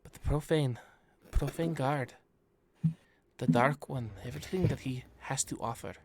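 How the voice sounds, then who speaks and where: A man's voice: mid-range voice, normal speed, darkish tone, voice pushed up, lightly acted. A man voicing a zealous horned woman cultist with a put-on Russian accent during a tabletop game. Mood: fervent. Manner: pleading, fervent, persuasive.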